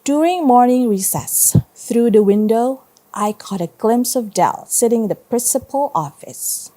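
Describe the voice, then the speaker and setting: Mellow Indonesian-accented woman reading aloud. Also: a little high, lively intonation, a little slow. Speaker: primary school teacher. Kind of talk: read-aloud storytelling for children.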